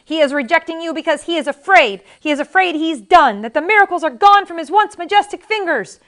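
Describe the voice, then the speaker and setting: A woman voicing a tiny ancient wife who scolds in a shrill, furious way. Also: expressive, a little fast, fairly bright tone. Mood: scornful.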